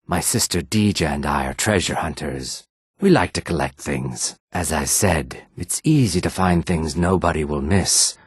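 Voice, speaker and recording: A man voicing a shady, calculating lizard-folk adventurer in a video game, with low husky tones and measured, insinuating formal phrasing. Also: no echo, clean studio sound, exaggerated voice. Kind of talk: video game character dialogue.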